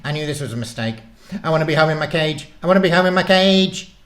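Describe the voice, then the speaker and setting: A man's voice: mid-range voice, lively intonation, normal speed, fairly bright tone, voice pushed up, natural voice. A man voicing a tiny talking guinea pig in a storybook, panicky, whiny and easily indignant. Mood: panicked.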